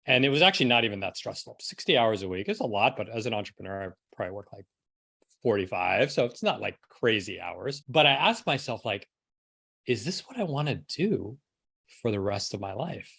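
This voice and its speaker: Low, creaky-voiced middle-aged man, podcast guest. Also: big pitch swings, a little fast, a bit bright. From New York City, United States. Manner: casual, frequent "like" and rhetorical "right?". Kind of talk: conversational personal storytelling.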